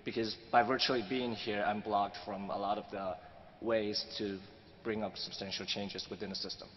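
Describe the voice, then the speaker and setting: Young man, student questioner, thoughtful and halting, slightly deep voice, echoey muffled hall recording. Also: flat delivery. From China. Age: young adult. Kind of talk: audience question. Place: large hall at a public forum. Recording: dull, noisy hall microphone recording.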